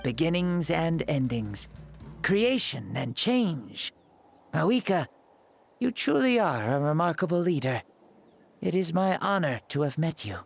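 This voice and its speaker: An ancient hero returned for one day in a borrowed boy's body, part solemn storyteller and part breezy joker. The voice swings up and down with lively pitch, and soft game music plays underneath. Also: unhurried, gravelly, heavily acted. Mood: earnest. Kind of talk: video game voice acting.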